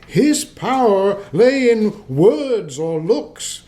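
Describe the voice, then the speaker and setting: A man playing an old miser in a radio play, theatrical, excitable and halting. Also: high-pitched, exaggerated voice. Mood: earnest admiration. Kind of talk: stage-like radio play acting.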